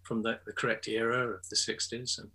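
A man's voice: lowish voice, level tone, a little fast, pushed and bright, breathy edge. A man in his sixties from England, a photographer chatting as a guest in an interview, hesitant with many ums and restarts, over a video call. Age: 60s. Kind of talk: casual interview chat.

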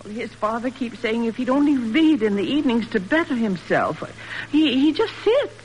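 An actress playing a worried, gentle farm mother in an earnest, expressive 1940s radio drama style. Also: on the high side, moderate pace. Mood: hesitant worry. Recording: noisy old radio broadcast recording.

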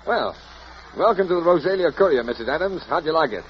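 A man's voice: a little high, expressive, fast, close to an everyday voice. An actor playing an eager, impulsive young American newspaperman and devoted husband in 1940s radio drama, boyish and stammering when flustered. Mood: proud, hopeful. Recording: muffled old radio broadcast with faint noise.

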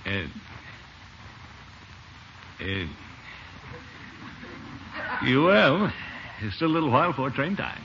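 An actor playing a pompous but soft-hearted middle-aged uncle in a 1950s radio comedy, blustering and hesitant by turns. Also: male voice, mid-range voice, big pitch swings, takes it slowly, creaky, long pauses, heavily acted. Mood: awkward, fond. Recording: noisy, muffled old radio recording.

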